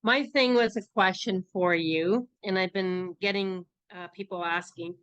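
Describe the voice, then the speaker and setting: Female participant, medium pitch, smooth and unfussy conversational delivery, lo-fi call sound. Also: unhurried, a bit bright. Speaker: meeting participant asking and sharing. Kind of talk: plain conversational question and testimonial. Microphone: video call. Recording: slightly dull video-call audio.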